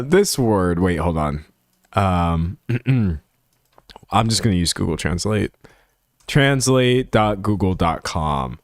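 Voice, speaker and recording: A man's voice: lowish voice, no room sound, studio quality. Laughing, self-deprecating Texan streamer man. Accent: Texan American. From San Antonio, Texas. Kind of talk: casual livestream chat reading comments.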